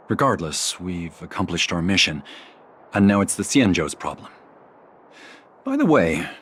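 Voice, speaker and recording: An actor playing a proud, formal foreign envoy in a video game, easily affronted, with an indignant, declamatory manner. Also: male voice, fairly low pitch, husky, heavily acted. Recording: music behind the voice.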